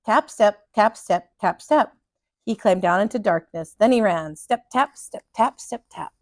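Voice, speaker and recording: A woman reading a storybook aloud for children in an animated, dramatic teacher's style. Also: medium pitch, a little slow, no echo, studio quality.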